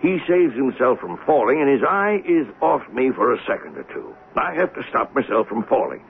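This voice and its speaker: An American actor playing an agitated eyewitness in a 1970s radio drama, recounting a crime insistently, step by step. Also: male voice, medium pitch, very animated, brisk. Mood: intent, explaining. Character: agitated male eyewitness reasoning out a poisoning. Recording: tinny old radio broadcast, faint noise.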